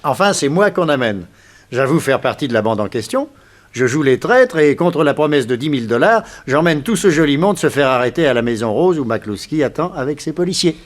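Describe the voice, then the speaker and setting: An actor playing a brisk, cheerful collaborator of a detective in a 1960s French radio serial. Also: male voice, a little high, animated, close to an everyday voice. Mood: brisk, pleased.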